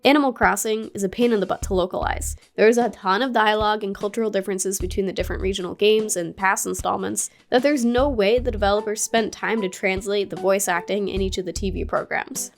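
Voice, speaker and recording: A woman's voice: mid-range voice, a little fast, vocal fry, hardly any pauses, soft background music. A woman narrating a scripted gaming video essay in a curious, playful way, with casual asides. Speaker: gaming YouTuber.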